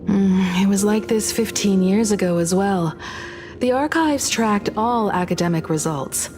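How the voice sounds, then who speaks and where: A woman's voice: medium pitch, measured pace, over music, natural voice. An actress voicing a composed, methodical young female investigator in a video game. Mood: composed, serious.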